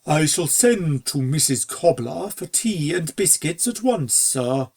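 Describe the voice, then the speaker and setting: A proper, dignified butler pony from a storybook, put on by a narrator. He speaks with stately deference, formal and measured, in a slightly gravelly voice. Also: medium pitch, expressive, darkish tone. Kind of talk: storybook character dialogue.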